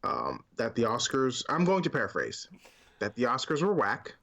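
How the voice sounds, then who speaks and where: A man's voice: medium pitch, wildly swooping pitch, moderate pace, creaky, fairly bright tone. A man co-hosting a casual conversational podcast, speaking in an emphatic, opinionated way. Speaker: podcast co-host.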